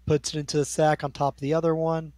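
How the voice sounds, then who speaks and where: A game master narrating scenes and outcomes in a tabletop role-playing game, describing rooms in an unhurried, descriptive way. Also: mid-range voice, little pitch movement, darkish tone, no room sound.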